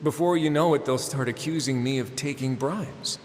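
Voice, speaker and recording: A laid-back male prison warden from a video game, voiced by a man, speaking in a mid-range voice with relaxed, wry banter. A long reverb trails behind his lines. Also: mid-range voice, normal speed, soft background music, close to an everyday voice.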